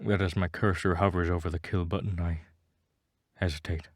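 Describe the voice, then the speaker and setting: Low-voiced man narrating a melodramatic story. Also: fairly even intonation, normal speed, no room sound, clean studio sound. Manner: mock-serious, melodramatic. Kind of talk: dramatic first-person scripted narration.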